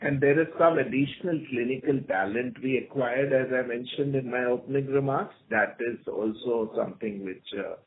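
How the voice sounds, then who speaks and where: Halting Indian man on a narrow phone line. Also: mid-range voice. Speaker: senior company executive. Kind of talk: answering analyst questions on an earnings conference call. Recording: phone-like conference line with faint noise.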